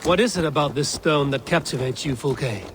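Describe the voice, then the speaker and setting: An actor playing a proud, skeptical Viking warrior in a video game, blunt and challenging in manner. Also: male voice, a little high, normal speed, noisy background, lightly acted. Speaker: game protagonist. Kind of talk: dramatic video game acting.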